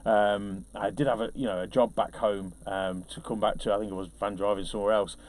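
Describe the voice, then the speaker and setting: A man's voice: on the low side, steady tone, brisk, a little hiss or hum. A man being interviewed as a DJ, telling casual anecdotes in a chatty, self-deprecating way.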